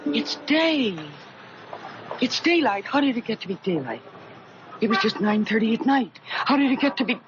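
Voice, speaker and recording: An actress playing an anxious, impulsive woman with a husky voice and an agitated, questioning manner in a 1970s radio drama. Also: a little high, big pitch swings, moderate pace, background noise. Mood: astonished. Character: anxious, impulsive woman, regretful and wanting a new life. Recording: muffled vintage radio broadcast.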